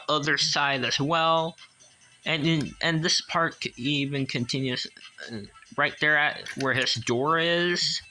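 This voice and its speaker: A man giving a casual hands-on toy review as a collector, enthusiastic but halting and repetitive. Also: mid-range voice, sharp tone, poor audio.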